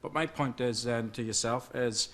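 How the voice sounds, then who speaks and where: A man's voice: medium pitch, normal speed, fairly bright tone. A man making a blunt point from the audience. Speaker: audience member.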